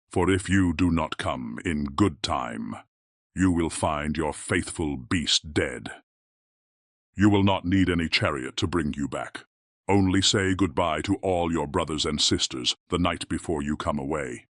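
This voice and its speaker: A man voicing a gentle, lovesick beast with a gruff voice and a mournful, formal manner in a fairy tale audiobook. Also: low-pitched, no echo, studio quality.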